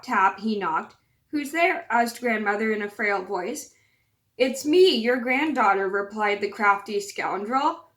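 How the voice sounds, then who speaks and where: A woman's voice: medium pitch, a bit bright. Scratchy-voiced woman reading aloud. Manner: chatty, apologetic asides. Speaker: channel host reading aloud. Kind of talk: storybook read-aloud.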